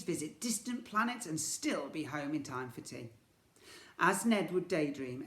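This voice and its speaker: Male storyteller for young children, expressive and theatrical, recorded in a roomy, echoing space. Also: on the high side. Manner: theatrical, expressive, with emphatic sound words. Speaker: teacher reading a story to children. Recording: echoey room.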